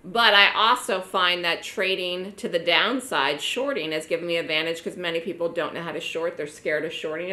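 A hoarse woman with a bright, edgy voice that sits a little low lectures her online trading room. She talks in a chatty way and repeats key words for emphasis. The sound comes through a webinar mic with a roomy echo. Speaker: trading educator running a trading room.

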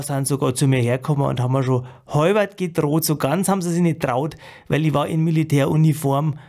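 A man's voice: on the high side, darkish tone. A man with a Bavarian accent talking casually to his viewers in a blunt, colloquial way. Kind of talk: casual spoken commentary to viewers.

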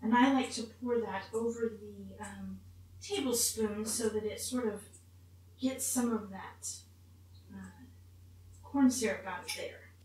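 A woman's voice: mid-range voice, takes it slowly, pauses often, a little mellow. A middle-aged woman hosting a homely, chatty recipe demonstration to camera in a grandmotherly storybook manner. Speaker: cooking show host in character as Mrs. Claus. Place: home kitchen, indoors. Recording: very echoey, distant mic, faint room noise.

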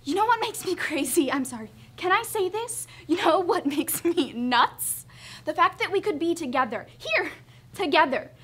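A woman's voice: very high-pitched, pushed and bright, full character voice. A teenage girl performing a theatrical monologue as a hurt, jealous young wife, emphatic and sarcastic. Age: teens. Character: hurt, jealous young wife berating her self-absorbed husband.

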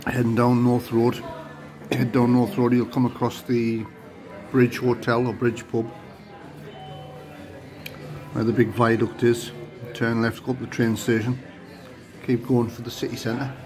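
A middle-aged man talks easily into a phone held close, rambling through a casual food review. He sounds tired but chatty, and clear music plays behind him in the pub. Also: medium pitch, monotone, unhurried, pauses often. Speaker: food vlogger. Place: pub dining room, indoors. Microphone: phone held close to the face.

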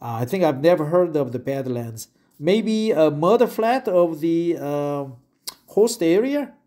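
A man slowly reads an article aloud, repeating phrases and stumbling over words. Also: on the high side, expressive, warm tone. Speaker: English learner reading aloud. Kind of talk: reading a web article aloud with asides.

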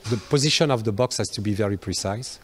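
A man gives an explanatory factory demonstration into a handheld mic, haltingly, searching for words. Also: lowish voice, a little hiss or hum. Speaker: factory guide. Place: large factory workshop, indoors. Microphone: handheld microphone. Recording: music behind the voice.

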